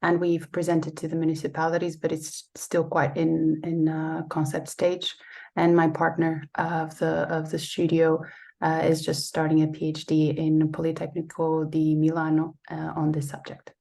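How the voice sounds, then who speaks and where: A woman architect introducing herself on a video call, halting with many fillers. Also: deep voice, completely flat delivery, vocal fry, mellow. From Portugal. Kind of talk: webinar self-introduction.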